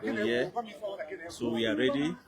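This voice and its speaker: A man's voice: mid-range voice, unhurried. A man from Cameroon who organizes the event, answering interview questions in an upbeat, reassuring way. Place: large outdoor expo venue. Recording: loud crowd behind. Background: crowd noise.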